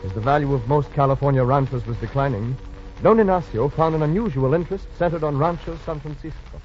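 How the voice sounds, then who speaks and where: A dull old radio recording with faint music behind it carries an American man's narration. He is grand and declamatory, reading a script in 1940s fashion with a slightly high pitch. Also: fast.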